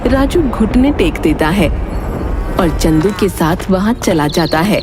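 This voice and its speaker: A woman's voice: on the low side, lively intonation, unhurried, music in the background. A woman narrating a scripted storybook tale as its narrator.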